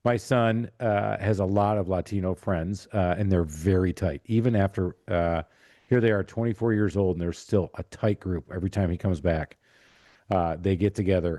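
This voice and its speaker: A man's voice: low-pitched, fairly even intonation, normal speed, warm tone, no room sound, clean studio sound. A middle-aged man hosting a casual interview with jokey banter. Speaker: podcast host.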